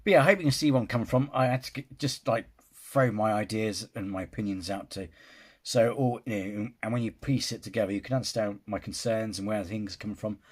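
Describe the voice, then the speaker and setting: A man's voice: fairly low pitch, a little fast. A man giving his casual opinion in a film reaction vlog, rambling and restarting his sentences. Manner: rambling, restarts sentences, often says "you know".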